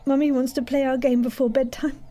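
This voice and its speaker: A woman playing an anxious mother of young girls in an audio drama, brisk and strained as she hides her dread. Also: high voice, little pitch movement, noisy background, lightly acted. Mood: strained reassurance.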